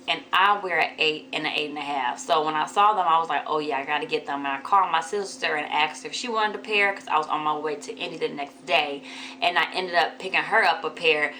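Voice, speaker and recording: A woman with a hoarse voice from a cold, chatting casually to viewers in a haul vlog. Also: a little fast, sharp tone, a little hiss or hum. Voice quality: deeper than usual. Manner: chatty, addresses viewers as y'all. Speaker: vlogger.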